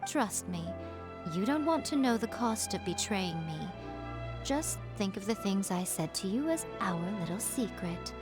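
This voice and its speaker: A woman's voice: mid-range voice, animated, a little slow, music in the background, close to an everyday voice. A woman voicing a teasing, self-assured female shrine keeper in a video game, with a playful, haughty, theatrical manner. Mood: sly teasing. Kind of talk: video game dialogue acting.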